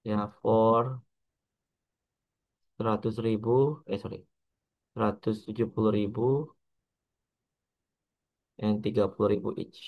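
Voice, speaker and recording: Indonesian man lecturing in halting steps. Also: fairly low pitch, completely flat delivery, lo-fi sound. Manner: halting delivery, mixing in English terms, frequent checking tags. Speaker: accounting lecturer. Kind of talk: lecture explaining a worked example step by step.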